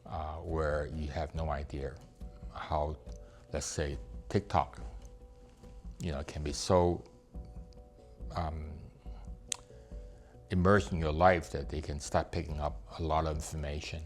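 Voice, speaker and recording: Very deep older Hong Kong man. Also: steady tone, slow, pauses often, faint music behind. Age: 70s. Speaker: activist interviewee. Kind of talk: reflective interview testimony.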